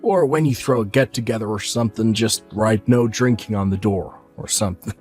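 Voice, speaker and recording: Guy doing a bratty mocking brother, lowish voice, shrugs everything off, faint music. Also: normal speed, darkish tone, husky. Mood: dismissive. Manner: dismissive, mocking. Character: careless, teasing younger brother.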